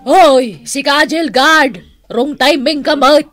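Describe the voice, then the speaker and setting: Theatrical, bright-voiced smitten auntie. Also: female voice, high voice, slow. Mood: indignant fury. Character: smitten, hot-tempered auntie visiting a jailed man. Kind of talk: Ilocano radio comedy drama acting.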